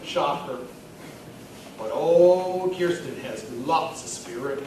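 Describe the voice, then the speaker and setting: A folksy, jovial small-town pet store manager, played by a man reading a story aloud, boasts and confides like a chatty regular. The voice is on the high side, heard from a distance in a very echoey, noisy room. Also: lively intonation, slow, a little mellow. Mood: chatty, confiding. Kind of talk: dramatic reading of short story monologue. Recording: very echoey room from afar, clear noise.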